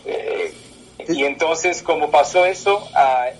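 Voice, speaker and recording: A man in his twenties whose first language is Portuguese, answering interview questions in halting speech with many pauses. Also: on the high side, a little hiss or hum, rough recording. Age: 20s. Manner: halting, with many filler sounds. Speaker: political candidate. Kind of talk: interview by phone.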